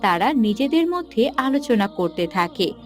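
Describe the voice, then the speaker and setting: High, animated woman storyteller. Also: measured pace, soft background music. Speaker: narrator. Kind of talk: scripted cartoon story narration.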